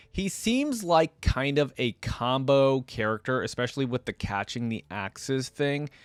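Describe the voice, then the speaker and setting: A man's voice: mid-range voice, no echo. A man hosting a reaction video, reading aloud and commenting in a casual, conversational way.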